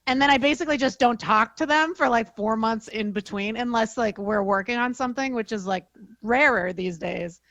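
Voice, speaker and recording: A woman's voice: on the high side, normal speed, a bit bright, no echo. A woman chatting informally as a panelist, slangy and self-deprecating. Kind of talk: casual chat. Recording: slightly dull, lo-fi audio.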